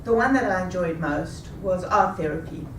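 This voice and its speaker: Woman, fifties, rehearsed personal story, unhurried, recorded far off in a roomy hall with audible background noise. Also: lowish voice. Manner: measured, deliberate delivery. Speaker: speaker. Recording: echoey room, taken from a distance.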